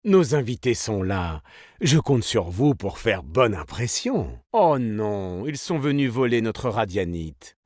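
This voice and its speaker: An actor playing a suave, vain, elegant male weapons designer in a video game, with a theatrical, smug and courteous, smoothly ironic manner. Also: fairly low pitch, sing-song, takes it slowly, a little mellow, no echo, studio quality, heavily acted. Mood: suave, self-assured. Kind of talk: video game character voice lines.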